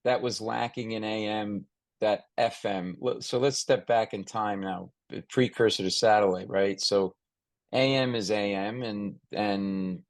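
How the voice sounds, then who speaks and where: A man's voice: fairly low pitch, fairly even intonation, a little slow, no echo. A man hosting an interview in a casual, conversational way, rambling and thinking aloud as he goes. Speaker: podcast host interviewing a guest.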